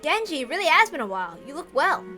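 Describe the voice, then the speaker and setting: A woman's voice: high voice, sing-song, fast talker, pushed and bright, faint music behind, pitched above the performer's own voice, exaggerated voice. A woman voicing a boisterous, joking young man with a casual, hearty manner in a visual novel read-aloud. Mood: friendly.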